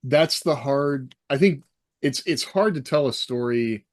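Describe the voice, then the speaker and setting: A man in a casual podcast discussion, thinking aloud in halting phrases. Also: medium pitch, lively intonation, no echo, clean studio sound.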